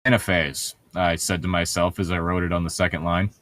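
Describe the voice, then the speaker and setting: Low-voiced sarcastic man in a dry recording. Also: little pitch movement, brisk, fairly bright tone, dry recording. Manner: sarcastic, swears freely. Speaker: co-host of a comedic read-along. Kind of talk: casual reading aloud with mocking commentary.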